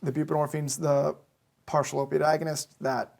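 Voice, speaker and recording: A man's voice: fairly high pitch, level tone, normal speed, vocal fry, darkish tone. A young man from Massachusetts, a medical student answering interview questions with careful, hesitant explanations. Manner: explanatory, hesitant with frequent ums.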